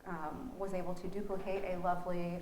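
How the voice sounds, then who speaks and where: A woman's voice: on the low side, little pitch movement, fairly quick, echoey room, very noisy. A woman, a theatre director being interviewed, chatting casually in a playful, teasing way.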